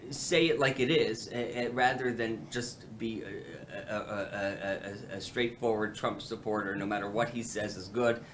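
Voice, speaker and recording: A man's voice: lowish voice, fairly even intonation, unhurried, hardly any pauses, slight background noise. A man, president of a policy institute, hosting a roundtable and speaking with many fillers and restarts. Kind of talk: halting roundtable remarks.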